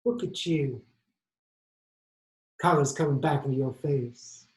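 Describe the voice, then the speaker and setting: A man playing a devoted, gentle male friend who is anxious and caring, in a stage monologue. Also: medium pitch, slow, lightly acted. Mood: tender. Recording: echoey room.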